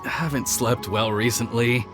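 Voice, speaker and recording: An actor playing an anxious, dutiful clan retainer in a video game, hesitant, earnest and apologetic. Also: male voice, medium pitch, steady tone, a little slow, lightly acted. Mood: troubled, apologetic. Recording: music behind the voice.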